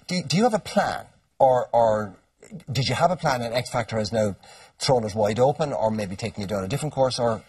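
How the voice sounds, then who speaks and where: A middle-aged man hosting a morning radio show. He speaks quickly with a lively, rising and falling pitch, and his polite questions often restart. His voice is husky and a little distant in a dry studio. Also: no room sound. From Ireland. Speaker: radio host.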